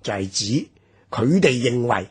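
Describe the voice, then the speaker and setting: A man with a Hong Kong Cantonese accent talks through history on a radio program. His voice is very raspy and strained, yet his pitch rises and falls in wide swings as he explains. He pauses often on fillers while he builds his points. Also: animated. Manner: measured, explanatory, many fillers. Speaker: history commentator. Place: radio studio.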